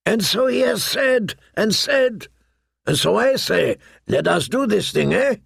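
A man's voice: sits high, very animated, measured pace, creaky, fairly bright tone, slightly rough, no room sound, studio quality, pitched above the performer's own voice, full character voice. A man voicing a boastful, lewd, wheedling pirate captain in an audiobook, with a theatrical, jovial manner and questioning tags. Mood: mournful, wheedling. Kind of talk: audiobook character voice.

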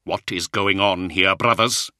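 A gaunt, brooding lord of knights, acted out by a male narrator. His low voice is rough and worn, and he speaks slowly and gravely, as a commander in a dark audiobook tale. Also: lively intonation, no echo, clean studio sound, heavily acted. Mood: stern, demanding. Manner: slow, deliberate, grave. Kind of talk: audiobook character acting.

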